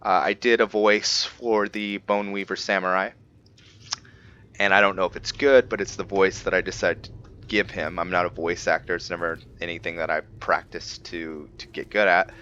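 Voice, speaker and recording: Man, low pitch, casual off-the-cuff game commentary, musing, muffled sound with faint noise. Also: fairly even intonation, normal speed, sharp tone. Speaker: gaming video host. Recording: muffled, with clear background noise.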